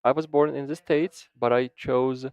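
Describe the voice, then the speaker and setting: A man interpreting a panel discussion into English live, halting often, repeating words and restarting his sentences. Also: medium pitch, fairly even intonation, clean tone. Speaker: simultaneous interpreter. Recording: dull, dry lo-fi sound.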